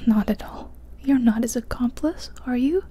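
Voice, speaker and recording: A woman voicing a kindly, knowing old male candy shopkeeper in a soft whisper. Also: fairly high pitch, normal speed, husky. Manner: whispered, gentle, reassuring.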